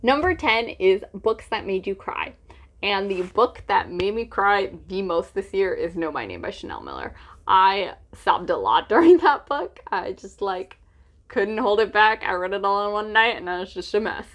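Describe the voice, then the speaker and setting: A woman's voice: medium pitch, big pitch swings, moderate pace, a bit bright. Chatty young woman gushing about favorites. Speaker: book reviewer vlogger. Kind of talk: casual chatty book vlog.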